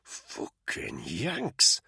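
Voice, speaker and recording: A man's voice: lowish voice, expressive, fairly quick, fairly bright tone, husky, no room sound, clean studio sound. A man doing a hissing, disgusted soldier. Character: bitter, foul-mouthed male soldier.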